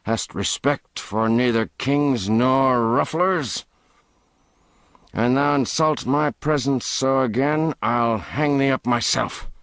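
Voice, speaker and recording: A man reading the part of a burly, gruff vagabond leader who gives firm orders in old-fashioned speech. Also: lowish voice, expressive, slow, fairly bright tone, no room sound, full character voice. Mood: stern. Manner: archaic, commanding speech.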